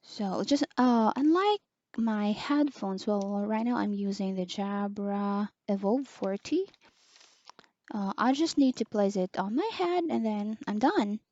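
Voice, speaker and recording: A young woman with a soft, low voice, slowly reviewing a headset as an online teacher, pausing often with fillers. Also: dry recording, dull audio. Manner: slow, hesitant, many pauses and fillers. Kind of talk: casual product review.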